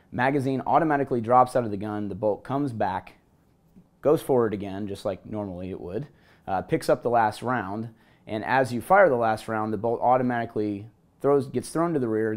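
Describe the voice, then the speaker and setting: A man in his thirties with a hoarse voice, hosting a casual gear review vlog from a hotel room and balcony. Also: fairly low pitch. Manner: energetic, talks with his hands. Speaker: host.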